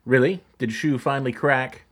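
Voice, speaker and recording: Calculating president, voiced by a man, smooth and guarded, mid-pitched. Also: fairly even intonation, normal speed, fairly bright tone, natural voice. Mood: polite. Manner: smooth, guarded, veiled threats. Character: guarded, calculating male president, middle-aged. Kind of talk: radio drama acting.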